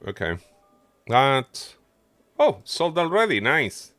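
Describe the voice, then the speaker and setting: A man's voice: medium pitch, sing-song, slow, fairly bright tone, no room sound, soft background music. A man doing casual livestream game commentary, muttering his running thoughts to himself as he plays. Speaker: game streamer.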